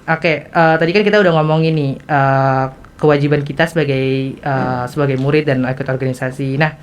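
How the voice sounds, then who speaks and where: A teenage girl with a Jakarta Indonesian accent hosting a student podcast in a casual, halting, conversational way. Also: low voice, steady tone. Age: teens. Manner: halting, hesitant delivery with repeated self-interruptions.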